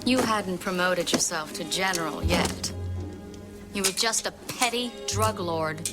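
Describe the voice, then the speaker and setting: An actress playing a defiant woman who confronts a warlord in a measured, accusing film monologue. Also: fairly low pitch, close to an everyday voice. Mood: cold accusation. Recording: film audio under very loud music.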